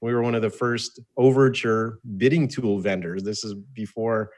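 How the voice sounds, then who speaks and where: Low-voiced American man telling his story. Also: moderate pace, a little mellow. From born in Soviet Russia, grew up in the United States from age eight. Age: 50s. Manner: conversational, wry, jokey asides. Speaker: interview guest, entrepreneur and author. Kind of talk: casual autobiographical storytelling in an interview.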